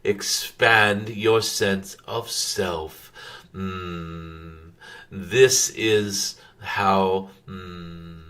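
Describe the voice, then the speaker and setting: A man voicing a wise, serene dragon spirit in a channeled spiritual message, halting and contemplative with frequent hums and formal phrasing. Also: on the low side, fairly bright tone.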